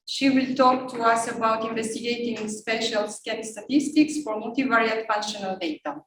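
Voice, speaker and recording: A woman's voice: fairly high pitch, moderate pace. A woman briefly introducing the next speaker as session chair. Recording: distant, roomy, noisy.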